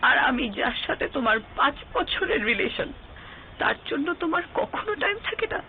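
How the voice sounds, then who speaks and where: A woman's voice: sits high, very animated, normal speed. An actress playing a hurt, accusing young girlfriend in a TV drama, with a Bangladeshi accent, emotional and sarcastic, mixing in English. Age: young adult. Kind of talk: TV drama acting. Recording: thin phone-like sound, faint noise.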